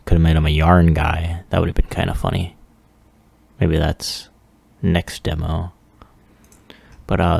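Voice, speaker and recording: A man giving a casual, unscripted software walkthrough in a halting, offhand way with mumbled asides. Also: deep voice, creaky, dry recording. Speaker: 3D animation hobbyist presenting a demo.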